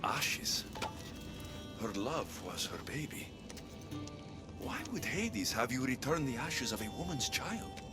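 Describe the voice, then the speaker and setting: An actor playing a loyal, compassionate warrior hero of ancient Greece in a video game, speaking earnestly with expressive emphasis. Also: male voice, mid-range voice, takes it slowly, long pauses, over music. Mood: troubled. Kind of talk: video game cutscene acting.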